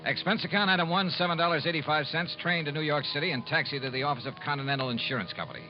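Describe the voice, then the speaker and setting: Male actor as wry radio private investigator, fast-talking and clipped, dull old broadcast sound. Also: on the high side, lightly acted. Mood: brisk, businesslike. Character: wry, hard-boiled male insurance investigator. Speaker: lead actor and narrator. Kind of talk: old-time radio detective drama acting. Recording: muffled old radio recording, music behind.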